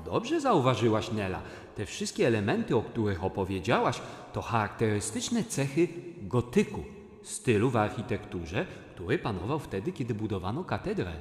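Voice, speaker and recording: Kindly tour guide in kids audio drama, quiet low voice, calm n patient. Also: male voice, moderate pace, reverberant, in a large hall. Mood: calm, instructive. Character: kindly male cathedral guide teaching children. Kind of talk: children's audio play acting.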